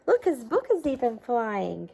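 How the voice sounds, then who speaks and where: A woman's voice: a little high, very animated, a little slow, gravelly, warm tone, breathy edge. A woman reading a picture book aloud to children, expressively, with coaxing questions and asides to her listeners.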